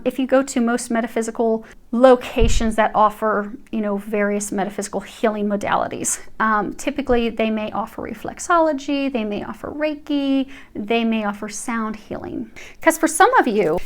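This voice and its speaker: A woman giving an earnest, reassuring tarot reading, speaking straight to her viewers. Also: fairly high pitch. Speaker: tarot reader.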